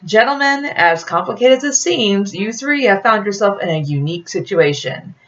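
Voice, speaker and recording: A woman's voice: mid-range voice, expressive, moderate pace, voice pushed up, close to an everyday voice. A composed fairy-tale queen, voiced by a woman, speaks formally and with command. Her intonation is varied. The recording is slightly lo-fi. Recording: dull lo-fi audio.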